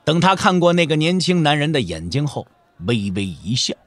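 A male narrator tells a martial-arts tale with theatrical emphasis. His voice sits slightly high and moves widely in pitch, drawing out key endings, in a dry studio sound. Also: very animated, moderate pace, fairly bright tone, no echo. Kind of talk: dramatic scripted audiobook narration. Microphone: close studio microphone.

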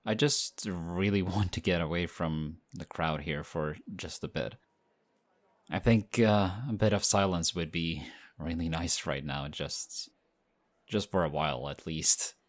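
A man voicing a friendly, modest young male team captain in an audio roleplay, talking in a relaxed, chatty way. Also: deep voice, a little slow. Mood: excited, friendly.

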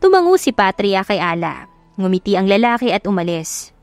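A woman's voice: medium pitch, sing-song, normal speed, no echo. A woman narrator reading a scripted audio love story. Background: music.

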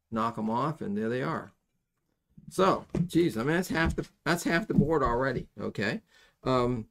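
A middle-aged man giving a casual hands-on soldering tutorial, folksy and halting as he thinks aloud. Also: mid-range voice, gravelly. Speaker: electronics soldering instructor. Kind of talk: hands-on tutorial, explaining casually while working. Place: indoors at a workbench.

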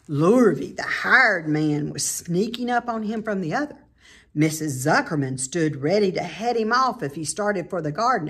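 A middle-aged woman with a slightly husky voice reads a children's story aloud expressively, like a teacher. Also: medium pitch, a bit bright. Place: indoor room at home by a staircase.

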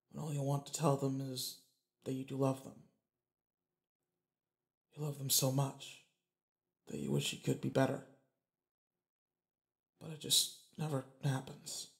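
A man's voice: mid-range voice, a little mellow, husky. A man playing a brusque, anxious young student bully who secretly cares, in an audio roleplay drama with emotional delivery. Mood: brooding, low.

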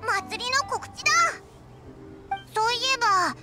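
A woman's voice: very high voice, unhurried. A woman voicing a tiny childlike fairy companion in a Japanese anime game, cheeky and perky with blunt, boyish phrasing. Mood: excited. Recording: prominent music under the voice.

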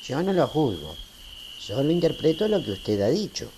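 A man's voice: fairly high pitch, big pitch swings, mellow, a little hiss or hum. An actor playing an easygoing, teasing small-town railway man in a radio drama, folksy. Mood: reflective, probing. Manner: folksy, unhurried, conversational.

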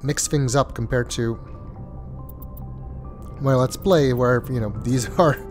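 A man's voice: mid-range voice, slow pace, soft background music. Stuffy-nosed man doing game commentary. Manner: hesitant, with many ums and uhs. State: congested, stuffy nose. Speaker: let's play host. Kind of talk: casual gameplay commentary.

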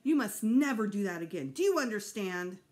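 A woman's voice: on the high side, sing-song, moderate pace, pitched above the performer's own voice. A woman voicing an anxious, easily exasperated mother in a children's audiobook, emphatic and dramatic. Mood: angry, scolding.

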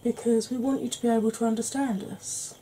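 A woman in her forties with a Welsh-tinged northern English accent, talking slowly and softly with wry humour while doing her makeup. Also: fairly high pitch, steady tone, a little hiss or hum, rough recording. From Wales and Yorkshire. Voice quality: soft-spoken, slow, wry. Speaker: makeup vlogger. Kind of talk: soft-spoken makeup tutorial chat.

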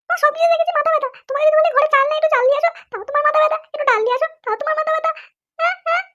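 A woman's voice: extremely high pitch, racing, pushed and bright, muffled recording. A woman voicing an aggrieved, nagging young cartoon wife who whines and argues. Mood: angry nagging. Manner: whining, argumentative. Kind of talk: comic cartoon dialogue.

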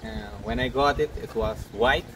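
A man's voice: medium pitch, moderate pace. A young man hesitantly answering interview questions about his own car. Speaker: car owner, guest. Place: outdoors in a paved lot. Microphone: camera some metres away. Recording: distant mic, noisy outdoor lot.

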